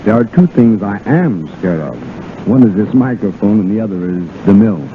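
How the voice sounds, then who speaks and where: Lively American man, tinny 1930s radio. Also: lowish voice, normal speed. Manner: wisecracking, colloquial. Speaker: radio guest, dance director. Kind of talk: scripted 1930s radio banter and testimonial. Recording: faintly noisy, narrow-band 1930s radio.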